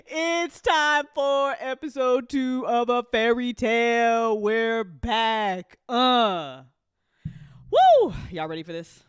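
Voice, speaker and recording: A woman hosting a live-stream listen party, chatting casually and excitably with her audience, giggly and quick to swear. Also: on the high side, smooth, no room sound, studio quality. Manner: hype-building, talks directly to chat. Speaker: host of a podcast listen party.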